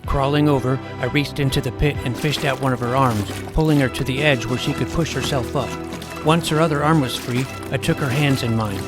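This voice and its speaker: A man playing an earnest, nerdy schoolboy superhero who narrates his own adventure in a deadpan first-person style. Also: mid-range voice, a little fast, close to an everyday voice. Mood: earnest narrating. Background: music.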